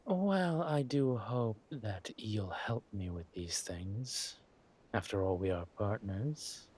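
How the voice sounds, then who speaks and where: Man playing a very slow, suave villain king. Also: on the low side, lively intonation, a little mellow, a little hiss or hum. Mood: tender, smug. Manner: slow, halting, purring hums and teasing drawl. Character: suave, ruthless villain king, affectionate with his wife. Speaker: roleplay audio performer. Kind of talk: villain roleplay audio drama, intimate monologue to a partner.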